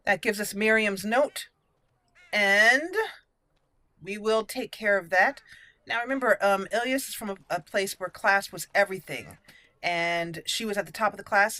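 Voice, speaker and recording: A woman's voice: medium pitch, moderate pace, pushed and bright, no echo. A woman hosting a let's play, chatting and thinking aloud as she roleplays through a game. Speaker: gaming channel host.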